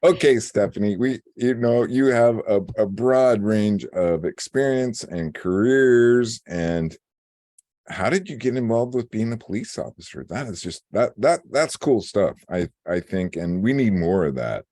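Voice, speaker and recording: A man co-hosting a radio talk show, chatting casually and joking with a low voice. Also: expressive, a little slow, warm tone, dry recording, studio quality. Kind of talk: casual chat.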